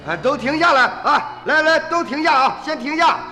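An actor playing a gruff, suspicious young farmer in a radio drama, sarcastic and rough-tongued. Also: male voice, very high-pitched, animated, normal speed, bright, forceful tone, echoey room, faint music behind, heavily acted. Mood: shouting orders. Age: young adult.

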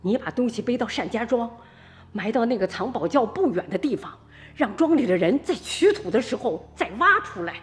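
A woman's voice: on the high side, lively intonation, moderate pace, lightly acted. An actress, scolding her son sharply. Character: stern village mother, sharp-tongued and scolding. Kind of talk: radio drama acting.

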